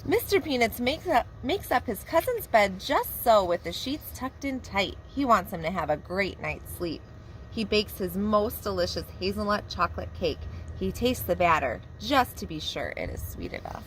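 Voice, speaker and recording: A woman preschool teacher reading a picture book aloud to young children in a warm, expressive storytelling manner. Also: medium pitch, normal speed, slight background noise, low-quality recording.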